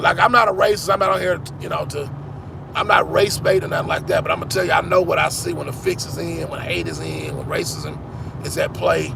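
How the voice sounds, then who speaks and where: A man's voice: fairly high pitch, vocal fry, noisy background. A man in his forties, hosting a sports channel, chatting casually with viewers in a rambling way, often repeating "man". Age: 40s. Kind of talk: casual live-stream chat with viewers.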